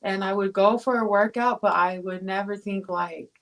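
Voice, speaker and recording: A woman's voice: medium pitch, steady tone, normal speed. A woman from Tonga chats casually as an interview guest. She rambles a little, with many fillers and restarts. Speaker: guest, pro boxer.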